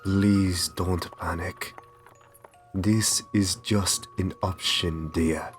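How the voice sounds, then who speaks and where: A man playing a courteous, possessive vampire master in an ASMR roleplay, soft-spoken, soothing and reassuring with genteel endearments. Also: sits low, fairly even intonation, very slow. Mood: coaxing, reassuring. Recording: music under the voice. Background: background music.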